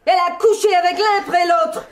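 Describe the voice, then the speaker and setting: An actress playing a bitter, accusing hotel proprietress, scornful and goading in a radio drama. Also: female voice, extremely high pitch, steady tone, quick pace.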